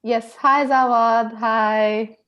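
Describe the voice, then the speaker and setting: A woman's voice: a little high, steady tone, drawn-out words, lo-fi sound. A woman from Bangladesh hosting introductions on a video call, friendly and chatty with frequent laughs. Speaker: online program facilitator.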